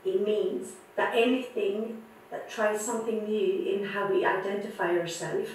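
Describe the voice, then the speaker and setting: A woman's voice: mid-range voice, a little slow, slight background noise. Earnest woman, distant and very echoey. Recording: distant, very echoey, faint ambience.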